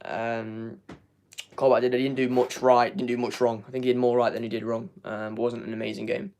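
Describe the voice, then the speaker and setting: Hoarse young man, flat rambling delivery. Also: mid-range voice, a little fast, a little hiss or hum. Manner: rambling, repeats words, many ums. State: losing his voice. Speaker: fan channel host. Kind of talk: live match review, reading chat.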